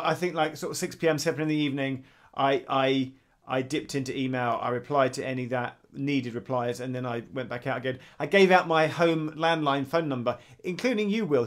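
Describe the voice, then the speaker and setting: A man, co-hosting a casual tech podcast, telling stories and acting out his own thoughts. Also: a little high. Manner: animated storyteller, acts out his own thoughts. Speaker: podcast co-host. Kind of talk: casual chat.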